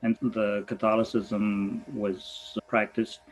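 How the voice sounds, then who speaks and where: A man in his sixties, a veterinarian originally from Goa, speaking in a thoughtful, halting way and laughing easily. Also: fairly low pitch, flat delivery, poor audio. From Goa, India. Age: 60s. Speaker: veterinarian guest. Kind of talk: reflective conversation.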